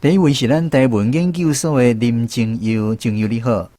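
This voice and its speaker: A male radio host with a Taiwanese accent presents a scripted segment. His pitch is mid-range for a man, and the sound is close and dry, as from a studio microphone. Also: steady tone, normal speed, mellow, no room sound.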